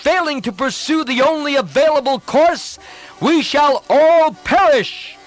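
A wise royal brother pleads with the king, as a male narrator puts him on. His voice is raised and earnest, rising and falling widely. He keeps an unhurried pace. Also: very high voice, expressive, over music, pitched above the performer's own voice. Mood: pleading. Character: earnest wise uncle and royal brother, middle-aged man.

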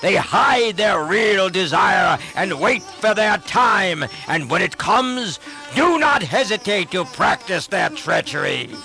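A wrathful demon king shouts down his court, voiced by a male reader. The voice is slow, gravelly and forceful. Faint sitar music plays behind him. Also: animated, full character voice. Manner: shouting, booming and defiant. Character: proud wrathful demon king, older man.

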